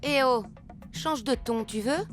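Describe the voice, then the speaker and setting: A woman's voice: sits high, takes it slowly. A woman voicing a sly, haughty villainess in a cartoon. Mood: indignant. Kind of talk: animated cartoon dub. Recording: music under the dialogue.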